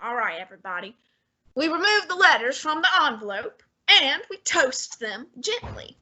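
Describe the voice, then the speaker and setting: A woman playing a portly, imperious male detective genius in a student radio drama, measured and condescending. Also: high-pitched, sing-song, pushed and bright, heavily acted, low-quality recording. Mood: commanding. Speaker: student actor.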